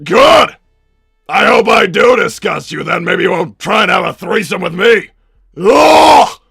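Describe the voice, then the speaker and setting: A man voicing an egomaniacal, scheming cartoon villain scientist in a theatrical, booming, shouting manner. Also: lively intonation, unhurried, vocal fry, breathy edge, dry recording, clean studio sound, faint music behind, heavily acted. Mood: furious outburst. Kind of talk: improvised character livestream Q&A.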